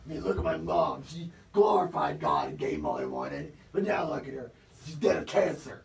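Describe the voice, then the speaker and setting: A man's voice: medium pitch, huge pitch swings, moderate pace, creaky, roomy sound, exaggerated voice. An actor on a church stage playing a young man who pleads and protests against his judgment. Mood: indignant. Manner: pleading, indignant. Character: defiant young man facing damnation. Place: church stage set, indoors. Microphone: distant camera mic. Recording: noisy, distant stage voice.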